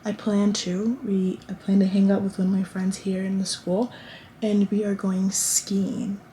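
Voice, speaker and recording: A woman in her late teens talks to camera from her college dorm room, chatty and rambling, often restarting her thoughts. Her voice is soft and mellow, with a faint hiss underneath. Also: mid-range voice, little pitch movement, unhurried. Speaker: vlogger. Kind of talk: casual chat to camera.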